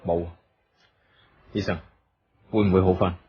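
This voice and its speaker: An actor playing a bitter, suspicious eldest brother, a wheelchair-bound company boss, scornful and domineering in a radio drama. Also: male voice, sits low, flat delivery, a little slow, husky, full character voice. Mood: gloomy, resigned. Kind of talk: Cantonese radio drama acting. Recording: dull radio drama recording, music behind.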